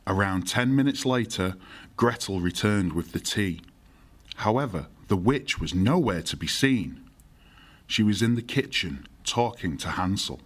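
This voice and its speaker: A man's voice: on the low side, expressive, unhurried, breathy edge. A man narrating a fairy tale as the storyteller in an audio drama. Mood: measured, storytelling.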